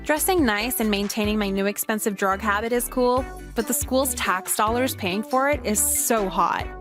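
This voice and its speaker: A woman playing a sarcastic, contemptuous teenage girl in a visual novel game, with a deadpan, cutting delivery. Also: mid-range voice, normal speed, close to an everyday voice. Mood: deadpan sarcasm. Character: sardonic teenage girl, contemptuous new kid at school. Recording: music behind the voice. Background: game music.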